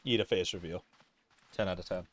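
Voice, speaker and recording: A man livestreaming a video game, reacting casually in a jokey, excitable way. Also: low voice, brisk. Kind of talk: casual live reaction commentary.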